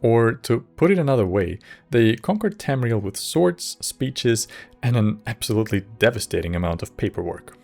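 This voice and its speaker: A man giving scripted narration for a game lore video in a measured, slightly wry way. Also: medium pitch, animated, creaky, a little mellow, soft background music.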